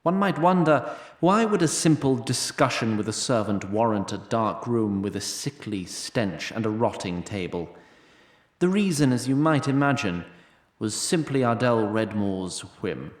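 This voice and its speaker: A man's voice: medium pitch, expressive, unhurried. Echoey male storyteller, wry. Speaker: narrator. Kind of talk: scripted story narration.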